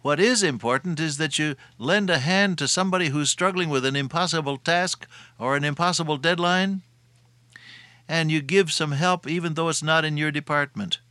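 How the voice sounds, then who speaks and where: A man's voice: on the high side, moderate pace, pushed and bright, dry recording. An older man preaching a sermon in a folksy, homespun way. He tells everyday anecdotes and chuckles at his own stories.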